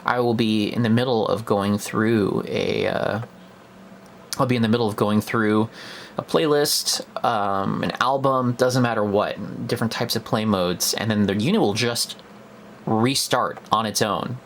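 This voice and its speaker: A man's voice: on the low side, a little slow, a bit bright, slight background noise. A young man giving a casual, unscripted product review in a hesitant, conversational way, as if thinking aloud.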